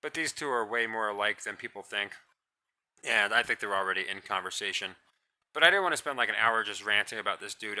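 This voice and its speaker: Man, solo commentary rant, scornful, blunt, rambling, low-ish voice, sharp tense tone. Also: poor audio. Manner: describes own voice as monotonous. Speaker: commentary channel host.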